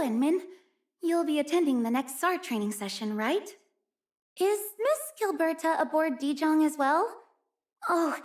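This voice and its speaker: A woman voicing an earnest, gentle young female snow-rescue worker in a video game, speaking in a caring, polite manner. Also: high-pitched. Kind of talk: video game character voice lines.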